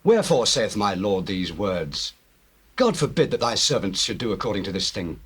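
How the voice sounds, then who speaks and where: An anxious Hebrew brother in a Bible drama. The voice is husky and swings widely as he earnestly protests to his superiors in archaic speech. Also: lowish voice, sing-song, moderate pace. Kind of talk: dramatized scripture, stage acting.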